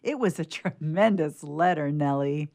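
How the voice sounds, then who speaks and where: A woman playing a spirited, bashful young woman in a sentimental radio theatre story, with an expressive, emotional delivery. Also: sits low, a little slow, creaky. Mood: teasing, excited.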